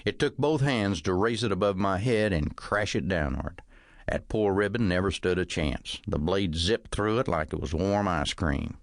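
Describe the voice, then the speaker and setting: A man reading a first-person comic novel as audiobook narration, in a measured, dryly humorous storytelling manner. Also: low voice, dry recording, studio quality.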